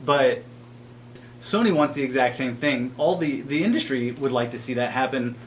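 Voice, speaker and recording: A man co-hosts a gaming podcast in a casual, conversational style. His voice sits at a middle pitch. The recording has clear background noise with a hum. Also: normal speed. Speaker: podcast co-host. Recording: clearly noisy home recording, humming.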